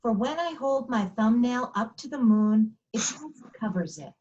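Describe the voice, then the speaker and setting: A woman's voice: on the high side, animated, a little slow, a little mellow. A woman playing a sweet, matter-of-fact little princess in a storybook readers theater, speaking with childlike certainty. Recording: slightly dull, muffled sound.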